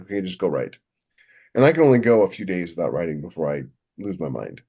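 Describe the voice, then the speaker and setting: A man's voice: deep voice, a little mellow. A middle-aged man talking candidly and in a rambling way on a casual podcast, as a co-host who writes novels.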